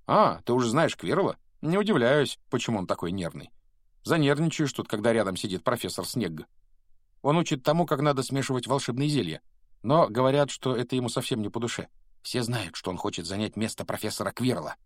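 A man's voice: medium pitch, expressive, brisk, no room sound, studio quality, pitched above the performer's own voice, natural voice. A man voicing an earnest, self-important teenage boy prefect in an audiobook, with a knowing, officious manner. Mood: knowing, gossipy. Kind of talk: audiobook character voice.

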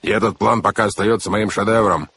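Man acting a low-voiced, sneering villainous count. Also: fairly even intonation, vocal fry, a bit bright, slightly rough, exaggerated voice. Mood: boastful, gloating. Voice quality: growling. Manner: boastful, drawn-out sneering delivery. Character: gloating villainous count, boastful, sneering, mocking. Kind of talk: theatrical audiobook character acting.

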